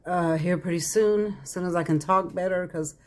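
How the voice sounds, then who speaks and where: A raspy-voiced woman, chatting casually to viewers as a tarot reader, congested from a head cold. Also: on the low side, steady tone, moderate pace. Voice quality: raspy, crackly voice. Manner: chatty, addresses viewers as y'all. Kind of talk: casual psychic reading vlog.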